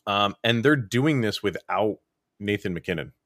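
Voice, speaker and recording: Man, podcast guest, chatty, lively, low pitch, dry sound. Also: normal speed, dry recording. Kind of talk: casual sports chat.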